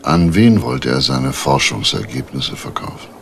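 An actor playing a probing, authoritative police detective with a gravelly voice in a 1980s German crime radio drama, asking terse questions. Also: male voice, very deep voice, big pitch swings, unhurried, fairly bright tone, full character voice. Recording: faintly noisy old radio recording.

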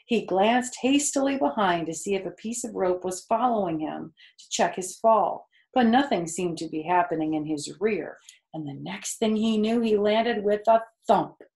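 A woman's voice: medium pitch, moderate pace, a little mellow. A middle-aged woman reading a children's book aloud and explaining it simply to young listeners. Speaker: storytime host. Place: small furnished room at home, indoors. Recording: roomy, echoey home recording.

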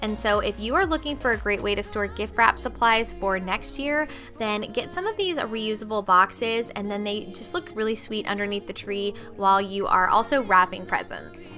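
A woman's voice: mid-range voice, moderate pace, hardly any pauses, sharp tone, soft background music. A young woman narrating her cleaning vlog in a friendly, chatty voice-over, talking straight to her viewers. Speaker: cleaning vlogger.

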